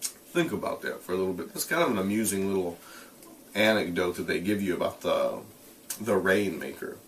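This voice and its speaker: Animated man reading aloud, slightly off mic. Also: low voice, brisk, distant mic, slight background noise. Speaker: teacher reading a novel aloud. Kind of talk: read-aloud narration with casual explanatory asides.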